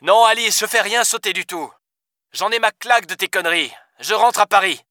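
A man's voice: sits high, normal speed, pushed and bright, no echo, studio quality, voice pushed up. A man reading aloud, voicing a blunt, swearing male police officer in a raised voice. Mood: gruff. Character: gruff foul-mouthed male French cop.

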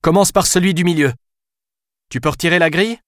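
Man doing a young cop lady barking orders, high and dry. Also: a little fast, no echo, close to an everyday voice. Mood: commanding. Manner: urgent, commanding. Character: tense young female French cop.

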